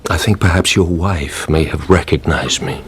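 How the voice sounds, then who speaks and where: A man acting a cool, vengeful aristocratic count in a radio drama, speaking formally and with measured politeness. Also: low voice, a little hiss or hum, lightly acted. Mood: cold, vengeful.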